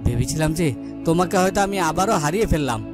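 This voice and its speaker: Man voicing an anxious high-voiced king. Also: animated, rapid-fire, natural voice. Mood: anxious, questioning. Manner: fretful, repetitive, dramatic. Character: anxious, devoted king of a fairy-tale palace. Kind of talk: melodramatic cartoon acting. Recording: music behind the voice.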